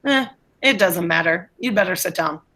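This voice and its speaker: A woman plays a blunt gentleman professor in a stage play, impatient and careless of manners. The voice is bright and a little raspy, with an offhand, theatrical turn. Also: on the low side, lively intonation, normal speed. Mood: offhand, distracted.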